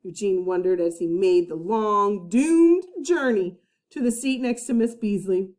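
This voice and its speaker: A woman teacher reading a children's storybook aloud with dramatic emphasis, pausing to put questions to young listeners. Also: medium pitch, a little mellow. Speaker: first-grade teacher.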